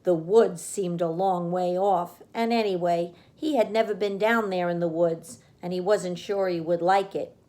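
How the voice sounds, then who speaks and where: A woman narrating a children's storybook as a read-aloud reader, with expressive delivery. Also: lowish voice, unhurried, hardly any pauses.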